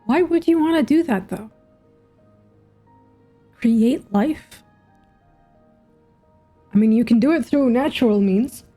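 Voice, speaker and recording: A woman with a fairly high, mellow voice gives casual game commentary. She muses aloud and questions things like a streamer, with soft music faintly behind her. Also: expressive, slow, long pauses.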